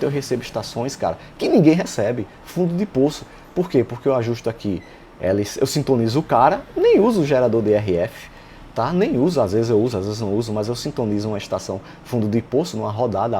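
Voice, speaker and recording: Low, mellow Brazilian man explaining informally. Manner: conversational, often adding check-in tag questions. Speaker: electronics instructor. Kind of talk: informal technical tutorial, explaining step by step. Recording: clear background noise.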